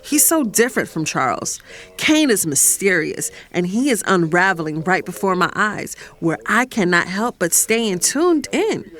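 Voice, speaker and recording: A woman's voice: mid-range voice, sing-song, unhurried, a bit bright. A woman playing a sassy, guilt-torn fiancée who narrates her own romantic drama in a confiding way. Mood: guilty, confiding. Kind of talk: first-person dramatic narration and romantic dialogue.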